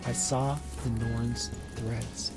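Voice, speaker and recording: A woman playing a stern, defiant ancient Norse seeress in an audio drama, speaking in solemn, measured, formal declarations. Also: extremely low pitch, flat delivery, over loud music, exaggerated voice. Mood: solemn, resolute.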